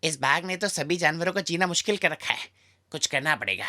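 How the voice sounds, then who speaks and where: Man as a bright, fearless little magician mouse. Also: on the high side, lively intonation, fairly quick. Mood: determined. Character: small fearless male magician mouse, cheerful schemer.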